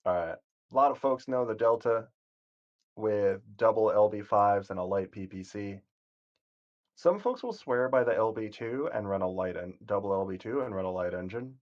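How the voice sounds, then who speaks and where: A man talks through a game stream in a calm, soothing voice that drifts and corrects itself as he goes. His voice sits low, and the stream audio is dull and lo-fi. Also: moderate pace. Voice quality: soothing, sleep-inducing voice. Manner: calm, rambling, self-correcting. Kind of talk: casual gameplay commentary.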